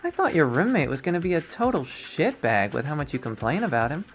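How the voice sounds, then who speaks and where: A streamer voicing a brash, excitable, foul-mouthed young woman in a visual novel. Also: medium pitch, fairly quick, faint music behind, pitched above the performer's own voice. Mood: teasing, excited.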